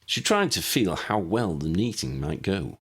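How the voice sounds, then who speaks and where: A man reads a story aloud as an audiobook narrator. His voice sits low and has a husky, slightly rough texture. The delivery is scripted narration. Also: very animated, measured pace.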